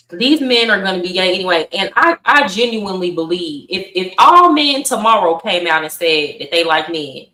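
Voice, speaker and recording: A woman with a bright, forward voice speaks her mind in a podcast discussion. She is conversational and outspoken, and the sound is roomy, picked up some way from the mic. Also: mid-range voice. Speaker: podcast panelist. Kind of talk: podcast debate, casual chat. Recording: roomy echo, distant from the mic.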